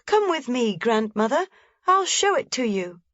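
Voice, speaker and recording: A woman's voice: sing-song, unhurried, a bit bright, no echo. A woman voicing an eager, anxious little mountain girl in a storybook reading. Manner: high, eager, pleading. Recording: slightly dull, dry lo-fi recording.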